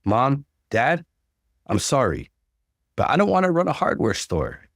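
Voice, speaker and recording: A man's voice: mid-range voice, wildly swooping pitch, measured pace, dry recording, clean studio sound, pitched above the performer's own voice. A man playing a frustrated, determined young student son in a story, earnest and firm.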